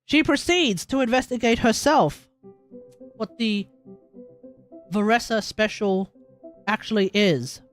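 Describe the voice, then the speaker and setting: A woman's voice: a little high, slow pace, pauses often. Slangy Australian woman streaming, music behind. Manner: slangy, emphatic, repeats phrases for effect. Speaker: game livestreamer. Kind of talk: casual live reaction commentary to a game.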